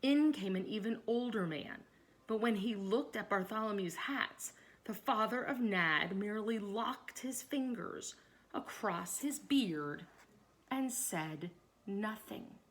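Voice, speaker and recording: A woman reading a children's story aloud, expressively and deliberately, pausing to address young listeners. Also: mid-range voice, lively intonation. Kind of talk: read-aloud narration with questions to young listeners.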